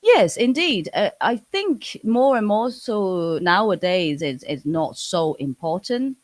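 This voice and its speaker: A woman from Hong Kong with a Hong Kong English accent, her first language Cantonese. She chats casually as a guest, searching for words with frequent fillers and restarts. Her voice sits at a middle pitch, recorded dry with no room sound. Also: sing-song, slow, dry recording. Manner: hesitant, many restarts and fillers. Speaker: interview guest. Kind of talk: casual interview chat.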